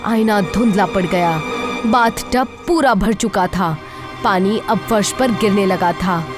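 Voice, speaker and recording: A woman's voice: mid-range voice, slow, over music. A woman telling a scripted horror story as narrator, in a suspenseful, theatrical manner.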